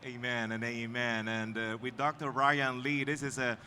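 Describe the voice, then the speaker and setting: A man moderating a church leadership panel, speaking haltingly with many fillers. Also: mid-range voice, level tone, bright, forceful tone, a little hiss or hum.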